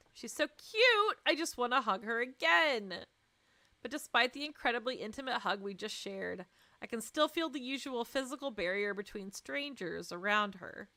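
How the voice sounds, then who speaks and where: Bright-voiced woman reading a story aloud. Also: a little high, no echo. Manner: expressive, teasing asides. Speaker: streamer. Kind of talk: live visual novel read-aloud with playful asides. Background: music.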